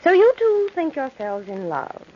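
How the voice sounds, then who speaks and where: An actress playing an imperious, centuries-old undead Egyptian princess with a haughty, mocking, deliberate delivery in radio horror style. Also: female voice, sits high, huge pitch swings, exaggerated voice. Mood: smug, coy. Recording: dull, noisy old radio recording.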